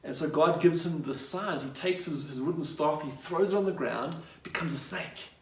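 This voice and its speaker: Guy preaching a sermon, kinda high voice, chatty storytelling style, really echoey room. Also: normal speed, vocal fry. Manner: conversational retelling, acting out dialogue and posing rhetorical questions. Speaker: pastor. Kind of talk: sermon, retelling a Bible story. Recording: echoey room, distant microphone.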